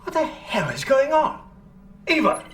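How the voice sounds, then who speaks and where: Animated British military commander. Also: male voice, very high voice, a little slow, distant mic, heavily acted. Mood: alarmed, exasperated. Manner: animated, exclamatory. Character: British field marshal, excitable, exasperated military commander. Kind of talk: acted video game cutscene dialogue. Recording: roomy, off-mic, echoing.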